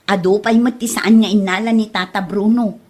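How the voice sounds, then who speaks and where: A woman's voice: mid-range voice, fairly even intonation, normal speed, darkish tone, lightly acted. A woman with an Ilocano accent playing a helpful, generous village neighbour in a comedy drama. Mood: friendly, encouraging. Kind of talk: comedy radio-style drama acting.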